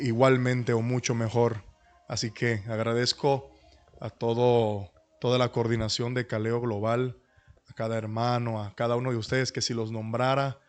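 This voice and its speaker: A middle-aged man, speaking as a pastor in a humble, courteous and deliberate way to an online class. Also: medium pitch. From Dominican Republic and New York. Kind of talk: introductory talk at an online church leadership class. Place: online video call.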